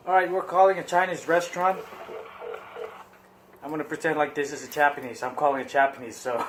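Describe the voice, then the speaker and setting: A man's voice: fairly high pitch, steady tone, brisk, a little hiss or hum, low-quality recording. A man improvising prank phone calls, play-acting with a hesitant, stammering delivery. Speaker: prank caller.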